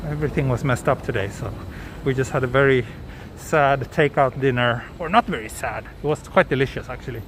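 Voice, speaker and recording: A man from southern Sweden chatting casually with viewers during a live walk, relaxed, with many ums and restarts. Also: medium pitch, fairly quick. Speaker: live-streaming walk vlogger. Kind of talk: casual live-stream chat answering viewers. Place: outdoors on city streets at night. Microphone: handheld phone camera. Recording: street noise behind the voice. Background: traffic and street ambience.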